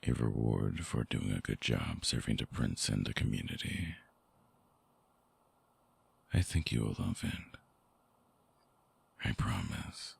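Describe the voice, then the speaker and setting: A man playing a brooding, seductive, possessive vampire ex-lover in an intimate ASMR audio roleplay, with slow, hushed, coaxing delivery and a very deep, breathy, gravelly voice on a close mic. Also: animated, full character voice. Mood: tender, reluctant. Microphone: close microphone, dry binaural recording.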